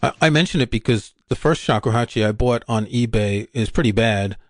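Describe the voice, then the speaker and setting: A male podcast host leads a conversational interview. He asks curious questions in a relaxed, chatty way. His voice is dry and close to the mic, with no room sound. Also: mid-range voice, brisk, no echo.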